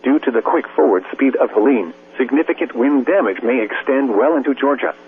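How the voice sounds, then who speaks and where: A man's medium-pitched synthetic voice comes through a narrow, tinny radio channel. He reads an official weather warning in stiff, measured, clipped phrases. A hum and faint hiss sit behind the words. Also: lively intonation. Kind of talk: formal scripted hurricane warning bulletin.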